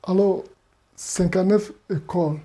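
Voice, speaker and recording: Brief: woman TV interviewer, very dark husky voice, frames long questions. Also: lowish voice, a little slow. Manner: asks long framed questions with short backchannels.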